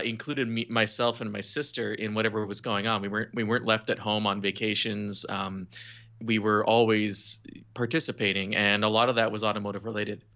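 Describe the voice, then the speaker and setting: Bright-toned man from Quebec. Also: on the low side. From Quebec, Canada. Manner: relaxed storytelling, wry humor. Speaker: automotive journalist guest. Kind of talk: casual podcast interview.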